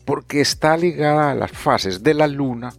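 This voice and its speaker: A Colombian man with a Paisa accent, co-hosting a casual astronomy podcast, easygoing and halting with many restarts. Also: a little high, vocal fry, soft background music. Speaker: astronomy communicator, co-host.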